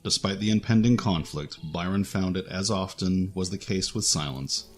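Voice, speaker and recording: A man's voice: deep voice, normal speed, faint music behind. A man reading scripted narration for a fantasy adventure story.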